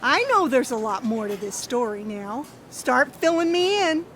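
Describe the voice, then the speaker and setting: A pushy, shrewd woman reporter, with a high voice and an insistent manner. It is natural radio drama acting over slight ambient noise. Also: big pitch swings, unhurried. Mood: shrewd, pressing. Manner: insistent, accusatory.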